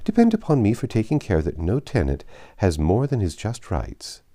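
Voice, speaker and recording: An obliging male estate agent from a classic storybook, voiced by a male narrator. He is deferential and flattering, with an ingratiating manner. His pitch moves freely and the tone is mellow. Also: moderate pace. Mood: reassuring, deferential. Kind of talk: audiobook character reading.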